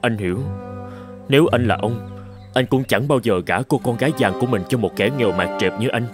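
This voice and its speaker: A man's voice: mid-range voice, a little fast, close to an everyday voice. A young man voicing an earnest, polite, poor suitor in a cartoon drama. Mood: dejected. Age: young adult. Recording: music behind the voice.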